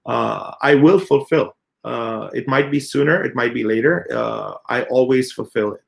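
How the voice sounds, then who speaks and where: A man in his thirties, a company CEO, giving a halting, earnest unscripted answer full of filler sounds. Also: mid-range voice, gravelly. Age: 30s. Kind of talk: unscripted spoken answer in an AMA.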